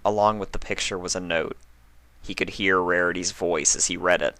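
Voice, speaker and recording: A man's voice: deep voice, steady tone, normal speed, bright, forceful tone, no echo. A man speaking as a reader of fan fiction stories. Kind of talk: storybook narration and casual sign-off.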